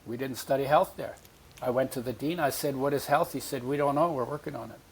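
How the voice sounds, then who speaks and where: A man's voice: medium pitch, quick pace, vocal fry, breathy edge, low-quality recording. A man in his eighties, born in Poland, telling his life story as a podcast guest and acting out voices from his memories. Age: 80s. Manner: animated storyteller, acts out remembered voices.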